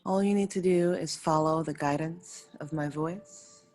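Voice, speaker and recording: A woman leading a guided meditation as a yoga nidra teacher, speaking in soothing, unhurried short phrases with pauses between them. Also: deep voice, steady tone, darkish tone. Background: faint music.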